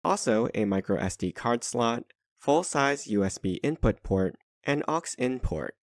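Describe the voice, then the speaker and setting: A man's voice: medium pitch, lively intonation, unhurried, no echo, studio quality. A man reading scripted narration for a product review.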